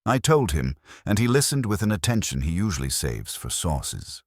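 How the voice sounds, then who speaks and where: A man's voice: low-pitched, big pitch swings, normal speed, no echo, studio quality. A man reading a detective story aloud as a scripted audiobook narration.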